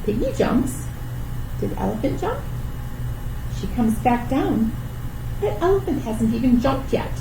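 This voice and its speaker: Female storytime host for small children, lively read-aloud delivery, high register, echoey room with distant mic. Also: a little slow, long pauses. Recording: roomy, distant mic, faint background noise.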